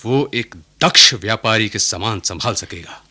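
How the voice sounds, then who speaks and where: A man's voice: on the low side, moderate pace, bright, forceful tone, husky, exaggerated voice. An actor playing an affectionate but firm middle-aged merchant, a husband and father, in a radio play. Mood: assured. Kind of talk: Hindi radio play acting.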